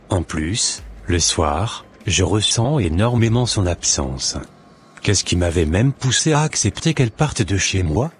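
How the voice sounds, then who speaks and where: A man playing a remorseful, lonely husband in a scripted cartoon drama, speaking in an earnest, deliberate way. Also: low voice. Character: remorseful, lonely husband swallowing his pride. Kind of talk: scripted animated drama monologue and dialogue.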